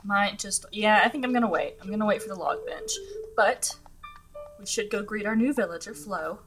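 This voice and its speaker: A young woman narrates her gameplay in a loose, chatty style, laughing often and circling back with ums and restarts. Her voice is slightly scratchy, as if she has just woken up. Bright, clear game music plays underneath her the whole time. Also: medium pitch, normal speed. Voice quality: chatty, giggly, many fillers and restarts. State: scratchy morning voice. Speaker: gaming channel host. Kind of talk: casual let's-play commentary.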